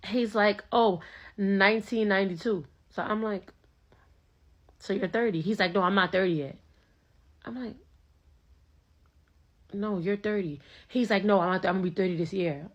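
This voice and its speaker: A woman's voice: medium pitch, moderate pace, long pauses, dull audio. A young woman telling a date story in a casual, slangy way, acting out both sides of the conversation. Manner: animated, slangy, acts out both sides of dialogue.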